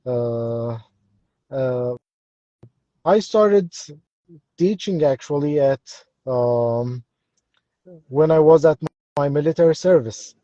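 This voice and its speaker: A man's voice: mid-range voice, slow pace, pauses often, mellow, no room sound. A middle-aged man with an Egyptian accent talking casually about himself as an interview guest, hesitant and agreeable. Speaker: guest, English instructor. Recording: dull, dry live-stream audio.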